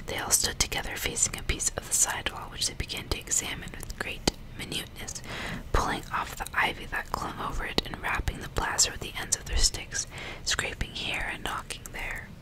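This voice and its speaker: A woman whispering in a breathy, unvoiced hush, as an ASMR host reading aloud in an unhurried way. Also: poor audio. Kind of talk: whispered ASMR audiobook reading with casual asides.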